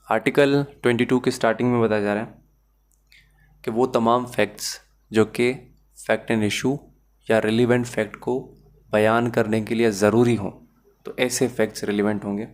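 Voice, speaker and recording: Methodical Pakistani man teaching. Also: lowish voice. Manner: methodical, step-by-step explaining. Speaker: law teacher. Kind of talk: explanatory lecture mixing in English terms.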